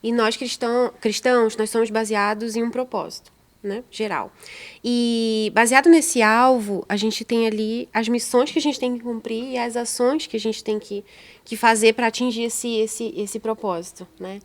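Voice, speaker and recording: A woman's voice: a little high, fairly even intonation, moderate pace. Reflective woman co-hosting a podcast. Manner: reflective, gives frequent soft agreement. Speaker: podcast co-host. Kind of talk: casual chat.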